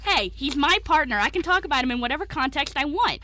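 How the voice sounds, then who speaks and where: A woman playing a cheeky, defiant eighteen-year-old queer young man in an amateur radio play, arguing in an animated way. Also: sits high, quick pace, slight background noise. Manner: animated, argumentative.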